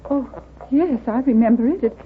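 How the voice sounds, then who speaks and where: An American actress playing a pious, fussy, stammering small-town gossip in 1930s radio drama style. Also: female voice, a little high, normal speed, natural voice. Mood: pious, defensive. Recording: tinny, noisy 1930s radio recording.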